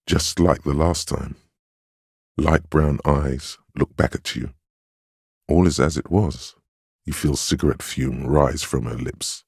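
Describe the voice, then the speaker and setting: The inner voice of willpower in a video game. It is an extremely deep, slightly husky male voice, stern and weary, recorded dry and close. It narrates and admonishes deliberately, with grave, wry protectiveness. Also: no echo, exaggerated voice. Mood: hushed, solemn. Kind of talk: dramatic second-person game narration and inner-voice dialogue.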